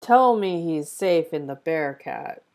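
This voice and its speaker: A woman's voice: deep voice, expressive, slow, darkish tone, heavily acted. A woman playing an urgent, bossy police agent at a live script table read. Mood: scolding. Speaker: actor reading a part at a table read.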